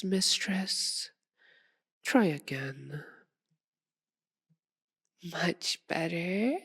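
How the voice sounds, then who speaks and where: A woman's voice: mid-range voice, gravelly, heavily acted. A woman voicing a sadistic, possessive female vampire in an intimate ASMR roleplay, purring and domineering, often dropping toward a whisper. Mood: stern.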